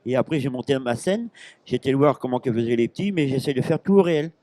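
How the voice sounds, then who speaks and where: A man's voice: medium pitch, lively intonation, quick pace, dark tone, no echo. A man in his fifties from Normandy, a guest chatting in a rambling, hesitant way with many restarts, on a handheld mic at a busy fair. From Normandy, France. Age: 50s. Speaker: interview guest, pig-call champion. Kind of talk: casual chat. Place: busy agricultural fair stand.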